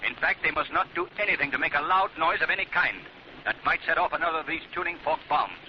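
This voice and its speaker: An actor playing a commanding, mysterious crime fighter in an old radio drama, speaking in a clipped, knowing way. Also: male voice, on the high side, expressive, quick pace, natural voice. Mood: urgent, commanding. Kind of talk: 1940s radio acting. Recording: muffled noisy old radio recording.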